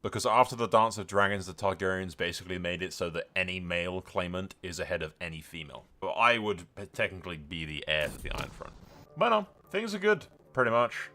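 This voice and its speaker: Low-voiced man narrating a roleplay game. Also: lively intonation, brisk, pushed and bright. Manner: informal, thinking aloud, slipping into storytelling. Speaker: gaming video host. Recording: music behind the voice.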